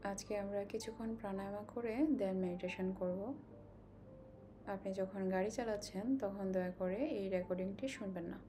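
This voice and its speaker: A woman leads a guided meditation in a mid-pitched, very even voice. She gives calm, slow instructions and leaves long pauses between them. Clear ambient noise sits behind her voice.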